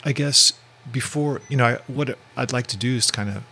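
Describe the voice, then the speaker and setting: A man's voice: medium pitch, normal speed, sharp tone, slight background noise. A male podcast host asking questions in a hesitant way, with many fillers and restarts. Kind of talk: casual interview.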